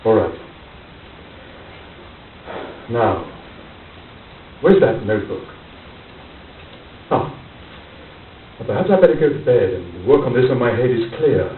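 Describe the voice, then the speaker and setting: An actor playing a grave, grieving gentleman who narrates formally in a radio play. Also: medium pitch, very animated, far from the mic, a little hiss or hum. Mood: preoccupied. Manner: solemn, formal, measured. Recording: dull, roomy stage pickup with music behind.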